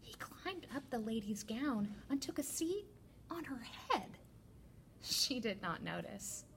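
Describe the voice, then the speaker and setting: A woman reading a children's picture book aloud as a teacher librarian, with deliberate, expressive storytelling. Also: fairly high pitch, slight background noise.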